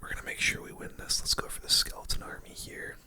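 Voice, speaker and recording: A streamer giving gaming commentary in a hushed, unvoiced ASMR whisper, breathy and close to the microphone, with informal, slangy phrasing. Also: fast.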